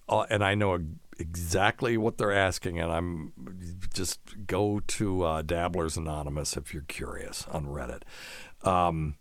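A man's voice: lowish voice, no echo. A man hosting a casual live show, answering listener questions in a folksy, rambling way and explaining medical terms plainly.

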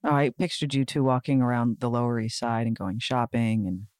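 A woman co-hosts a podcast in relaxed chat, teasing and agreeable. Her voice sits mid-range and the sound is dry and close. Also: extremely low pitch, a little fast, no echo. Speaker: podcast co-host, actress. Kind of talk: casual chat.

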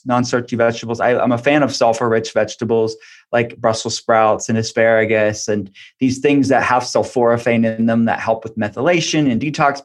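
Man, mid-pitched voice, expert interview guest, informal explanatory delivery, conversational phrasing. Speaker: expert guest, functional medicine practitioner and author.

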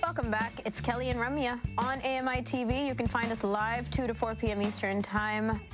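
A woman's voice: a little high, fairly even intonation, a little fast, music in the background. A woman co-hosting a talk show in a casual, conversational broadcast style. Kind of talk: casual broadcast chat and segment links.

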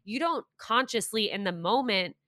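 A young woman hosts a podcast in a frank, emphatic way, and her tone is bright and forceful. The sound is close and dry, with no room echo at all. She talks at an everyday pitch for a woman. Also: animated, normal speed. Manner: frank and emphatic, mocks bad advice. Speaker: podcast host. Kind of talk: casual interview chat.

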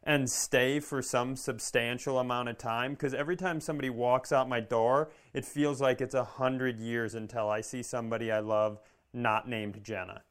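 A man's voice: mid-range voice, fairly even intonation, normal speed, few pauses. A man co-hosting a podcast in casual comedic chat, self-mocking and acting out little quotes as he talks. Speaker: podcast co-host.

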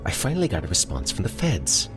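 A man's voice: deep voice, huge pitch swings, quick pace, over music, pitched above the performer's own voice. A man voicing an earnest female fairy detective who sounds frustrated and pleading.

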